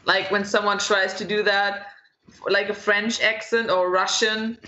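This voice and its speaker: Woman, German accent, opinionated, bright tone, roomy lo-fi audio. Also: medium pitch, flat delivery, unhurried. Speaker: guest. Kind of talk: casual group chat. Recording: roomy lo-fi sound.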